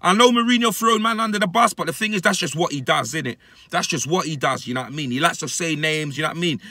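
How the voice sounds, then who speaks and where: Bright, high-pitched London man ranting. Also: quick pace, no room sound. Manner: emphatic, repetitive street-slang delivery. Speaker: football fan reacting to his club. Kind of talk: animated casual rant to camera, heavy slang. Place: inside a parked car. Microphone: phone at arm's length.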